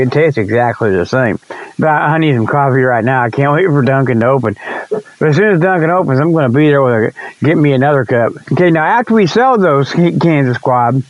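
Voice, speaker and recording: A man's voice: mid-range voice, dry recording, dull audio. A man hosting a comic radio segment in a rambling, folksy, repetitive way, peppered with okay and you know. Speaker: host of a comic report segment. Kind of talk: rambling comedic radio monologue.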